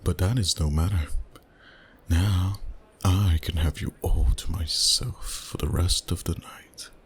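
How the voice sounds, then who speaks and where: Male actor as vampire boss, deep low voice, dominant, seductive, teasing, courtly, intimate ASMR roleplay, slight background noise. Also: steady tone. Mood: smooth, possessive. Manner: teasing, unhurried, courtly phrasing with pet names.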